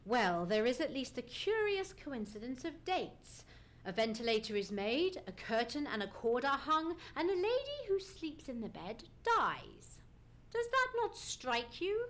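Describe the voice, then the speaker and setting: A commanding gentleman detective acted out by a performer in old-time radio style. The voice is high and swings widely in pitch. The diction is formal, exact and emphatic. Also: sing-song, measured pace, exaggerated voice. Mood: musing, confiding. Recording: live stage radio play recording.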